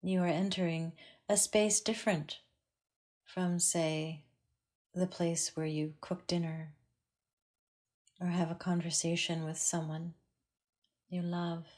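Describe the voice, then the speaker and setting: A woman teaching a guided meditation in a calm, measured way with long pauses. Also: lowish voice.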